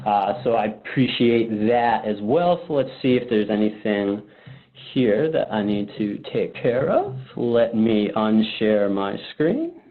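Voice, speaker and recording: A man's voice: lowish voice, very animated, slow. A man presenting a product webinar casually, informal and thinking aloud in a hesitant way. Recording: phone-like narrow-band webinar audio.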